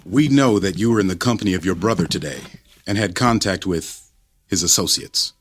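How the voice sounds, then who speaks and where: Menacing federal agent: deep, husky, officious and icy, radio drama delivery. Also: male voice. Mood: coolly official. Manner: formal, officious, measured. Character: cold, menacing male government agent.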